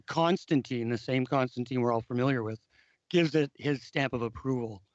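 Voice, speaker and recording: A man hosting a casual talk show, hoarse from losing his voice, who often repeats words and restarts sentences. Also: medium pitch, no echo, lo-fi sound. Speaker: podcast host. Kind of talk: casual discussion of biblical calendar history.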